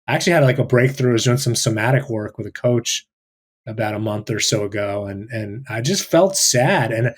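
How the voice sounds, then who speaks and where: Reflective man speaking haltingly. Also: medium pitch. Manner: thoughtful, halting, many "like"s and restarts. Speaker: teacher. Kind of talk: reflective personal sharing.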